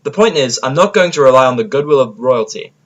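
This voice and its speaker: A man's voice: medium pitch, expressive, quick pace, dull audio, lightly acted. A man plays a stubborn male outsider in an audio drama. He is grumpy and speaks in a blunt, irritable way, in a natural male voice. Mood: angry.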